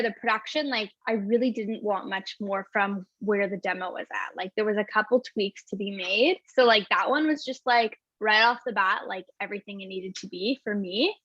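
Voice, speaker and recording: A woman's voice: mid-range voice, fairly bright tone, no room sound. Young female singer, casual and bubbly, constant "like", lo-fi dry audio over a video call. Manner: bubbly, says "like" constantly, laughs often. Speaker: singer-songwriter guest. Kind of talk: casual interview chat. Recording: dull, dry, lo-fi sound.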